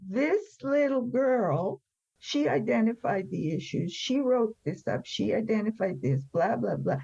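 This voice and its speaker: A woman's voice: on the high side, gravelly, warm tone, slightly rough. A woman in her seventies telling personal stories as an interview guest, conversationally, in a candid, wry and emphatic way. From born in France. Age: 70s. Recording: dull, lo-fi sound.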